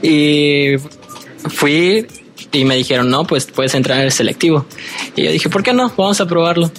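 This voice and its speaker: A man's voice: on the high side, lively intonation, moderate pace, fairly bright tone. Young Mexican man over music, casually answering. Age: early 20s. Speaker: student athlete, interview guest.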